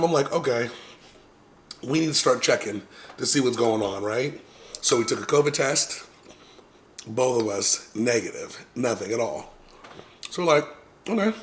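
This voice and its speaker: A man casually telling viewers a long personal story, rambling with many fillers and restarts and clearing his throat now and then. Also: mid-range voice, fairly bright tone. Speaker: vlogger.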